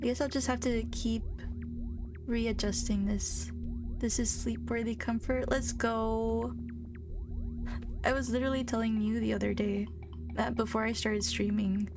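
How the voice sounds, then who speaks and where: A young woman talks casually while she plays, her voice slightly high and full of vocal fry. She speaks in a soft, fairly monotone way. Clear game music plays behind her. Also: a little slow, pauses often. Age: young adult. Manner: soft-spoken, chatty, calls her voice monotone. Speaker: streamer. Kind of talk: casual live-stream chat while gaming.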